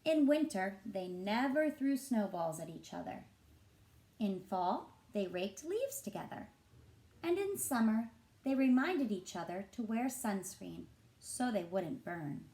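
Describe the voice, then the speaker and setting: A woman reading a picture book aloud to children as a teacher, in an expressive, deliberate and engaging way. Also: medium pitch, low-quality recording. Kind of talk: expressive storybook narration for children.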